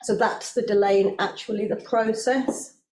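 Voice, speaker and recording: A woman, a senior children's services manager, answering a council committee's questions in a measured, explanatory way with frequent pauses. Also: mid-range voice, fairly even intonation, a little mellow. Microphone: microphone not working, speaking loudly. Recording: roomy meeting room, faint noise.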